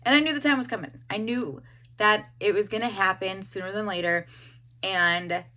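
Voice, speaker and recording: Hoarse young woman, bright rambling chat. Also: medium pitch, normal speed. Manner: rambling, frequent "like" fillers. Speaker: beauty vlogger. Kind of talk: casual hair-dye review.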